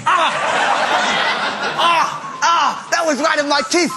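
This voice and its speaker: A man's voice: very high voice, steady tone, takes it slowly. A young man presenting a children's TV show, hamming up a slapstick sketch. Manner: yelping, over-the-top.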